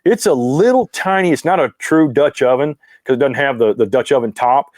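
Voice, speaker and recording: Rambling deep-voiced man. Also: lively intonation, a little fast, a little mellow, dry recording, clean studio sound. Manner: rambling, laughing, folksy, says dude and y'all. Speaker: outdoors content creator guest. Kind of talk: casual interview over video call. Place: room at home with few lights. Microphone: clip-on wireless mic.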